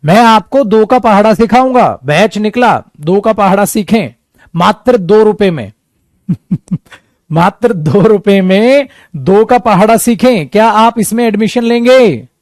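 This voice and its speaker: High-voiced man teaching an exam class. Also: animated, measured pace, a little mellow, no room sound, clean studio sound. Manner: addresses students as beta and mere dost, acts out students' doubts. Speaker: English teacher for competitive exams. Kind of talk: coaching-class lecture.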